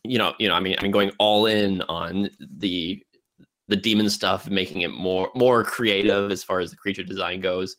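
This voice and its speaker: A man hosting a casual film discussion, speaking haltingly and often repeating words as he works toward his point. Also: low voice, fairly even intonation, a bit bright. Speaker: film podcast host.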